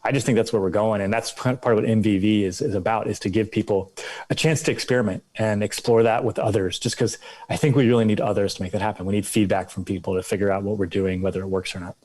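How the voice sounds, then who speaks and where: Middle-aged man, fairly low voice, fluent and animated, video-call guest. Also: fast. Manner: fluent, animated, asks reflective questions. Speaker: podcast guest. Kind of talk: casual video-call interview. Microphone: headphones with headset, video call.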